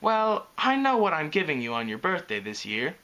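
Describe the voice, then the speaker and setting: Guy doing a teasing young lady pony, kinda high, dry n sarcastic, coaxing. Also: wildly swooping pitch, moderate pace, bright, forceful tone, exaggerated voice, low-quality recording.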